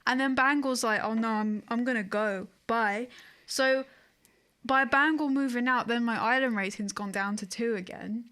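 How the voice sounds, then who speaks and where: Female games podcast co-host, voice a little high, casual and wry, informal storyteller. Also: moderate pace, a bit bright, clear, resonant tone. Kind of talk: casual chat about video games.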